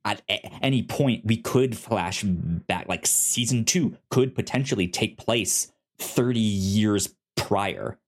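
A podcast co-host with a mid-range voice, chatting casually in a review discussion. The delivery is halting, with word starts often repeated or stuttered before the sentence moves on. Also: mid-range voice.

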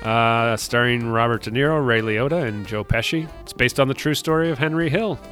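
Easygoing man, mid-pitched radio co-host. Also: a little fast, a bit bright, soft background music. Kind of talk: casual chat and event listings.

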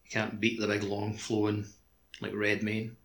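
A man's voice: lowish voice, monotone, moderate pace, fairly bright tone. A man co-hosting a casual gaming podcast, agreeable and informal in conversation. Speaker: podcast co-host. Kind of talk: casual game discussion podcast.

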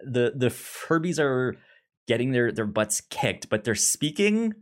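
A man co-hosting a film podcast in casual conversation, halting and often stammering over the starts of words. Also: medium pitch, very animated. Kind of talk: casual movie discussion.

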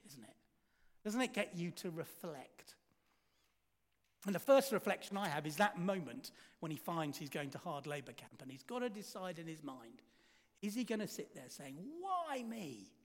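Lively man preaching in a reverberant hall. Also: on the high side. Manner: storytelling with rhetorical questions, dynamic volume swings. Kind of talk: sermon.

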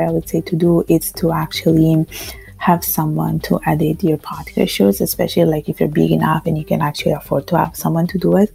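A woman's voice: lowish voice, vocal fry, warm tone, slightly rough, low-quality recording. A woman from Italy answering interview questions as a podcast guest in a conversational way. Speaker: podcast guest working in finance.

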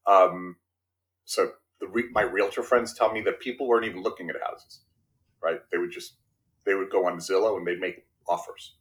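Low-voiced man in his fifties, Brooklyn-raised. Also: fairly even intonation, normal speed, fairly bright tone. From Brooklyn, New York. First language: Spanish. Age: 50s. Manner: self-deprecating, checks in often with tag questions. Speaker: guest. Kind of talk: casual interview conversation.

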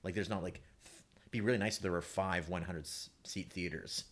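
A man speaking as a guest in a casual panel discussion, halting and repeating words, with emphatic turns. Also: low-pitched, fairly even intonation.

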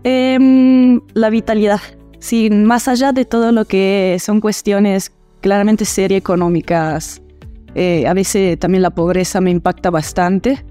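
A woman's voice: medium pitch, steady tone, unhurried, dark tone, faint music behind. A woman from Italy, a university teacher answering interview questions in a hesitant way, with many filler sounds.

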